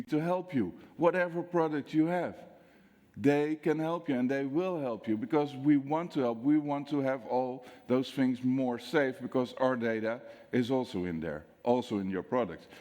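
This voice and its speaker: A man from the Netherlands with a Dutch accent, giving a conference talk on security, acting out dialogue and quizzing the audience. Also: fairly high pitch. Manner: lively storyteller, acts out dialogue, asks audience questions. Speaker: security speaker. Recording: long hall reverb.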